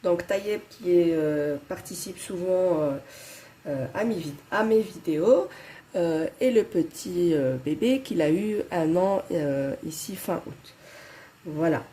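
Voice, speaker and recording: A woman's voice: lowish voice, takes it slowly, warm tone. Belgian woman with a head cold. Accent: Belgian French. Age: 30s. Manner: hesitant, many fillers and restarts. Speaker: budgeting vlogger. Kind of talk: casual chat to viewers.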